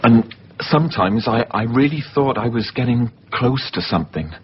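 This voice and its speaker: Actor as intense, unstable man, wildly swinging pitch. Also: expressive, lightly acted. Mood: haunted, intense. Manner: halting, repeating words, intense. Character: obsessive, unstable man who confuses films with his own memories. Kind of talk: radio drama acting. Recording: dull recording with faint background noise.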